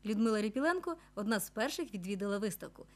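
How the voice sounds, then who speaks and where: A woman's voice: mid-range voice, lively intonation, quick pace. A woman reporter reading scripted narration for a television news report.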